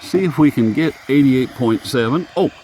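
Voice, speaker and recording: A man's voice: mid-range voice, moderate pace, warm tone, a little hiss or hum, low-quality recording. A man hosting a casual, unscripted radio review in a folksy, jokey, rambling manner. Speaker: host of radio review videos.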